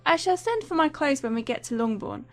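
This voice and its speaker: Woman acting a giddy, high-voiced teenage girl. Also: big pitch swings, a little fast, faint music behind. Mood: giddy, gleeful. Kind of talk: dramatized audiobook acting.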